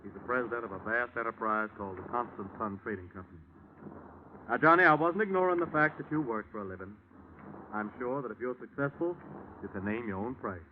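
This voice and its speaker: A man's voice: mid-range voice, fairly quick, close to an everyday voice. A man with an American accent playing an earnest, genial army chaplain turned pastor in a 1940s radio drama, speaking in a folksy, pleading way. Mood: grave, confiding. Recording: muffled, noisy 1949 radio recording.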